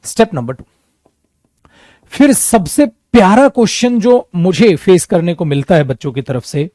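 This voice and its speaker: High-voiced man teaching an exam class. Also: expressive, a little slow, warm tone, no echo. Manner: addresses students as beta and mere dost, acts out students' doubts. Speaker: English teacher for competitive exams. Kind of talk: coaching-class lecture.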